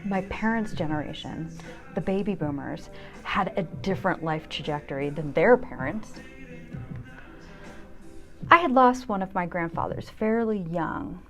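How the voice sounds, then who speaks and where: A woman in her fifties narrates a personal essay with a rather low voice. She is wry and candid, reading as the host of her own show, with music clearly playing behind her. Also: lively intonation, unhurried, long pauses. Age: 50s.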